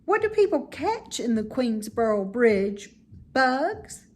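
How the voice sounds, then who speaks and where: Woman voicing an eager little boy pig, very animated. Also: on the high side, slow, voice pushed up, full character voice. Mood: curious. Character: eager, sensitive young male pig, naive and childlike. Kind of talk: children's storybook read-aloud.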